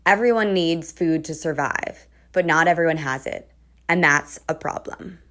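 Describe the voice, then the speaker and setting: Low-pitched woman giving a polished intro. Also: normal speed, fairly bright tone. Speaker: interviewer, sustainability specialist. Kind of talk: scripted introduction.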